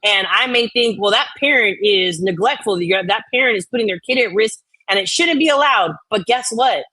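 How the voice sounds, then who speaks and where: Female co-host, casual and outspoken, mid-pitched voice, very dry sound, blunt delivery with swearing. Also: moderate pace, sharp tone, no echo, low-quality recording. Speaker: co-host reading and reacting to viewer comments. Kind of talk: casual chat with read-aloud comments.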